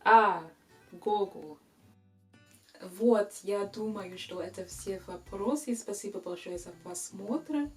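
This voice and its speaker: A young woman from England, a native English speaker, with an English accent, answering viewer questions in a casual vlog and pausing often with hesitant fillers. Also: medium pitch, soft background music. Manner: slow, careful learner's speech with frequent hesitations.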